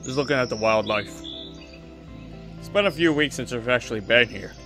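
A man acts out a fatherly veteran exorcist in a Minecraft roleplay. His voice is bright and of middling pitch, relaxed and reassuring, with a firm side. Faint music plays underneath. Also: normal speed, natural voice. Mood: calm, fatherly. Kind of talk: improvised Minecraft anime roleplay.

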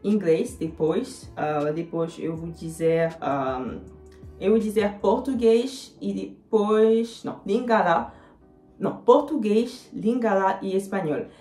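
A young woman in her twenties, a native French speaker with a French accent, chatting to camera with many fillers and pauses as she answers viewer comments. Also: mid-range voice, dark tone, reverberant, soft background music. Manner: hesitant, many fillers, switches into English. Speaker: vlogger. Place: small indoor room.

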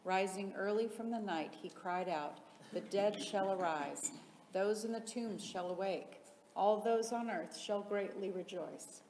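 A woman reading solemn liturgical hymns aloud in a measured, formal, chant-like delivery. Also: mid-range voice, darkish tone. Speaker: liturgical reader in church. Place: large reverberant interior. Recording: echoey reverberant hall, faint background noise.

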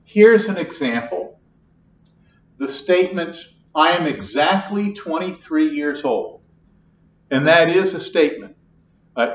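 Slow older man lecturing in echoey classroom. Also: a little high, a little hiss or hum. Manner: slow, patient, repeats each point. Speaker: math lecturer. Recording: echoey classroom, distant, dull.

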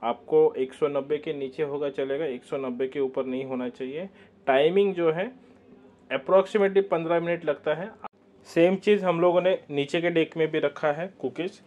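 Guy explaining a recipe in a bakery kitchen, kinda high voice, patient and repeats stuff, muffled camera audio. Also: steady tone, moderate pace, a little mellow. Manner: patient, instructive, repeats key warnings. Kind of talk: step-by-step cooking demonstration.

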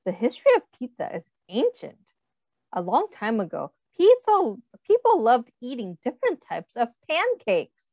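A woman teacher reading a picture book aloud to children, pausing to ask them questions and putting on small voices for the characters, speaking into a webcam. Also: fairly high pitch, dry recording, highs cut off. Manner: animated, asks children questions, does little character voices.

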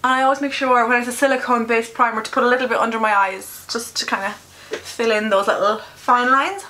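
Bright, chatty young woman, a bit nasal. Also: a little high, steady tone, quick pace, a little hiss or hum. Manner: candid, swears casually. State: nasal from a cold. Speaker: beauty vlogger. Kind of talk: chatty makeup tutorial. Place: small bedroom at home.

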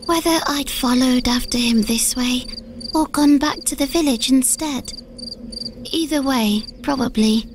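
A woman voicing a timid, earnest village girl in a comic dub, hesitant and soft-spoken. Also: high-pitched, measured pace, background noise. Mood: pensive. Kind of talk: comic dub acting and fairy-tale storytelling.

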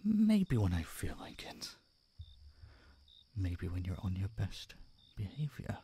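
A man playing an obsessive, doting yet menacing masked kidnapper in an audio roleplay, speaking softly and tauntingly to his captive. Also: low-pitched, wildly swooping pitch, a little hiss or hum, full character voice. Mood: taunting, possessive. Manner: soft, taunting, condescending, drawn-out murmurs and whispers. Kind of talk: intimate audio roleplay monologue addressed to the listener.

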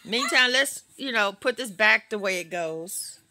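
A middle-aged American woman chatting casually with a small child at home, coaxing and gently scolding from behind a handheld phone. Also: mid-range voice, lively intonation, a little slow, heavy background noise. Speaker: caregiver filming a toddler at play. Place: cluttered carpeted living room.

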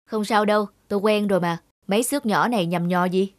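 A woman's voice: medium pitch, fast talker, no room sound, studio quality, natural voice. A woman playing a carefree, daring young male stuntman in a cartoon, joking and nonchalant. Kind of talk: animated cartoon dialogue.

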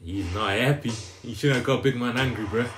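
A man's voice: medium pitch, a little fast, a crowd in the background. A young man with a London accent giving casual, opinionated, slangy reaction commentary. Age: young adult. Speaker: reaction video host. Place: indoor room on a sofa.

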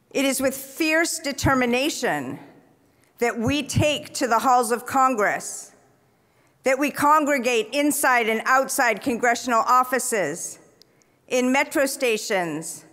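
A middle-aged woman giving a prepared acceptance speech at a podium in a large banquet hall, slow and deliberate. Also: on the high side, a bit bright. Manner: slow, deliberate, earnest delivery. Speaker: award recipient giving a speech. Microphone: podium microphone. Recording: long reverb of a large hall.

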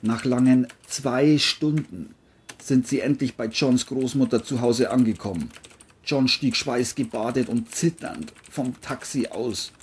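A man's voice: mid-range voice, a little slow, a little hiss or hum. A man reading scripted narration for an audio crime story, as its narrator.

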